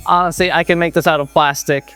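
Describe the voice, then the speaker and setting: Male vlog presenter, fabricator type, fairly high register, playful and informal, light music underneath. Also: fairly even intonation, fast, pushed and bright, smooth. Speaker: exhaust fabricator presenting a build. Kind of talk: casual vlog chat about a build. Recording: music behind the voice.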